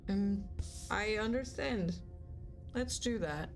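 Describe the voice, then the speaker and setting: A woman's voice: medium pitch, animated, slow pace, over music. A woman streaming a game, playful and teasing, reading her choices aloud as she plays. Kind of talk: casual game commentary.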